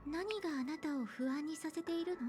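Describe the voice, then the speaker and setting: A woman playing a gentle, polite young lady in an anime-style game, soft and courteous, somewhat wistful. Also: high voice, very fast, faint music behind, close to an everyday voice. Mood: gently reassuring. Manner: soft, courteous, feminine phrasing. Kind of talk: Japanese anime-game voice acting.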